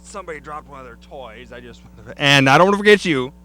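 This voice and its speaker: Male host, joking, chatty, bright voice, slightly high, outdoors on a trail. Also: expressive, fast. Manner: jokey, chatty. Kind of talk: casual chat.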